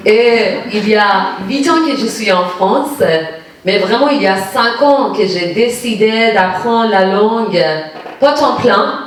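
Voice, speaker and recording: A woman's voice: fairly high pitch, slow, a little hiss or hum. A woman from Iran whose first language is Persian, speaking with a Persian accent as a guest poet at a public reading. She searches for her words, with frequent hesitations. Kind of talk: personal storytelling at a reading. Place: municipal lecture hall. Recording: echoey hall from far off.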